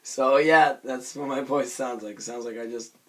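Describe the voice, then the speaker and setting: A hoarse young man with a raspy voice, rambling casually through a self-conscious vlog with many fillers and restarts. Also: medium pitch, moderate pace, a bit bright. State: hoarse from screaming. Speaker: vlogger reviewing a cartoon episode.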